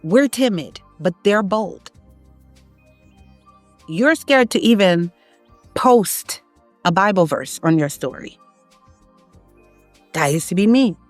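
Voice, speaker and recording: A woman's voice: expressive, takes it slowly, pauses often, no echo, soft background music. A woman from Haiti giving an emphatic, opinionated casual monologue, her voice climbing when she presses a point. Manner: emphatic, rising in pitch when making a point. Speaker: online content creator.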